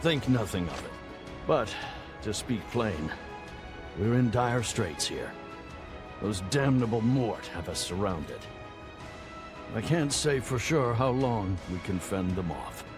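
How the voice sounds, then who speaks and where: An actor playing a gracious, plain-spoken fort general in a video game, formal and declamatory in delivery. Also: male voice, fairly low pitch, animated, slow. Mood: grim, frank. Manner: formal, old-fashioned, declamatory. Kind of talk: dramatic video game cutscene acting. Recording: loud music under the voice.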